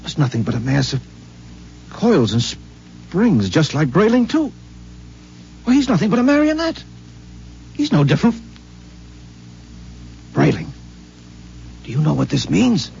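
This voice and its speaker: A self-assured married man, mellow-voiced. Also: fairly high pitch, huge pitch swings, vocal fry, heavily acted. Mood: amazed. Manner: brisk, persuasive, fond of sighs and hums. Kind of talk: 1950s radio drama acting. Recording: dull lo-fi 1950s radio broadcast with faint hiss.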